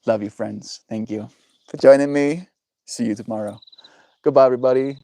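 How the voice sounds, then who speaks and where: A man's voice: mid-range voice, normal speed, gravelly, dark tone. A young man chatting casually on his livestream, laid-back and encouraging, answering viewers and calling them bro.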